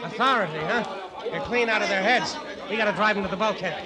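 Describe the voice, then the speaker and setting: An actor in an old radio drama playing an excitable, put-upon young first mate, blunt and sarcastic. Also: male voice, high voice, fast talker, a crowd in the background, lightly acted. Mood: shouting orders. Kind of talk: 1940s-50s radio acting. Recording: noisy old radio recording, uproar behind.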